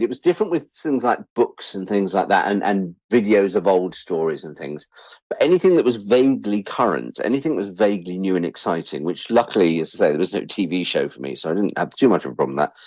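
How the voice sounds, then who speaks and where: A man's voice: lowish voice, a little mellow, no echo. An older man with a British accent telling rambling, candid anecdotes as an interview guest, sweary and self-deprecating. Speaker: interview guest, writer-producer.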